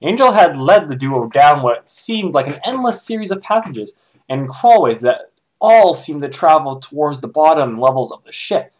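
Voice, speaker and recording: A man's voice: on the high side, big pitch swings, moderate pace. A man doing a casual dramatic reading of fan fiction, with joking asides.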